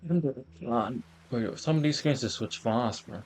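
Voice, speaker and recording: A man's voice: medium pitch, a little slow, soft background music. A man doing a casual video game playthrough, commenting and reading the on-screen text aloud. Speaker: game streamer.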